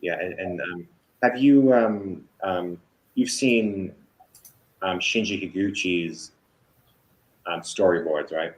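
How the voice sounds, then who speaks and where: Low-voiced hesitant man. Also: rough recording. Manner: halting, many fillers and restarts. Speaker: author guest. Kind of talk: casual interview chat.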